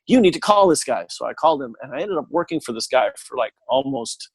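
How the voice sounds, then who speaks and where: A middle-aged man telling stories in a casual chat, animated and full of jokey asides. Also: medium pitch, fast talker, breathy edge, no echo. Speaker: guest. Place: living in Texas.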